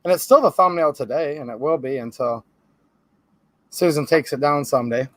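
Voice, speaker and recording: A man with a Michigan accent speaks to camera in a high, light voice, joking and needling his viewers. The sound is dry and close, with almost no room around it. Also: normal speed, no echo. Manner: sarcastic, chatty, keeps calling viewers "guys"; holds items up to the camera while talking. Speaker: firearms channel host. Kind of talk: casual talk to camera.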